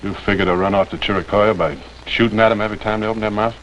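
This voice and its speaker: Low-voiced, laconic American frontier scout, acted by a man. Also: fairly even intonation, rapid-fire, fairly bright tone, lo-fi sound, natural voice. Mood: challenging. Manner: dry, blunt, folksy. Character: laconic, skeptical male frontier army scout. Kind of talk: mid-century American TV western acting. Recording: noisy old TV soundtrack with rain.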